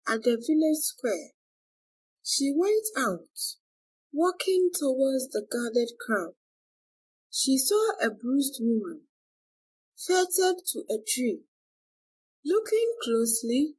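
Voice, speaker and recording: A woman narrating a folktale from a script in a deliberate, expressive storytelling manner. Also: sits high, slightly rough, rough recording.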